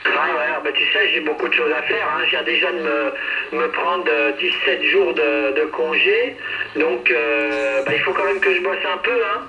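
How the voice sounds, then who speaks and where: A man's voice: a little high, normal speed, bright, forceful tone. A man talking casually and hesitantly over two-way radio, in a chatty, friendly way. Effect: two-way radio transmission. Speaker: amateur radio operator. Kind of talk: casual radio contact chat. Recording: roomy, distant, dull noisy radio transmission.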